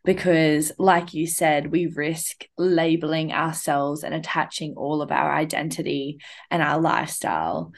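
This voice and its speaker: A woman's voice: low voice, steady tone, unhurried, gravelly. A young woman hosting a casual podcast conversation, talking in a reflective way with lots of "like" and "um". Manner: reflective, uses many fillers like "like" and "um". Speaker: podcast host.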